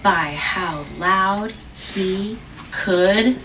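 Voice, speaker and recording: A mother reading a picture book aloud to her child at home, gently stopping to ask questions and jumping to a squeaky high pitch on small words. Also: very slow. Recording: distant mic with faint room noise.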